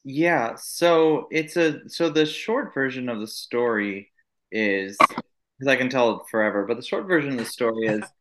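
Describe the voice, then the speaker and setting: A man's voice: medium pitch, moderate pace, heavy background noise. Chatty man telling his story, full of fillers. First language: Italian. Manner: rambling, storytelling with many fillers and restarts. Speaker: guest. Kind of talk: casual podcast interview.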